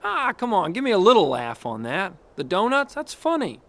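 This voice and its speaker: Cocky young race car for a cartoon game: easygoing wisecracker, fairly high voice, lively swoops. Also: male voice, normal speed, heavily acted. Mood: playful. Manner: breezy, wisecracking. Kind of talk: animated game cutscene acting.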